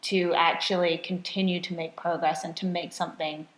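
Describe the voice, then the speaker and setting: A woman's voice: on the low side, level tone, moderate pace. A woman co-hosting a casual podcast chat as a nutrition coach, chatty and self-deprecating, often laughing at herself. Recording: roomy echo.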